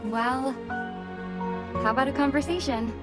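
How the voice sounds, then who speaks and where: An actress playing a composed young warrior princess in a video game, with a gentle, calm and wistful manner. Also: female voice, sits high, measured pace, over loud music. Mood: calm, reflective. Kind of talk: dramatic video game dialogue.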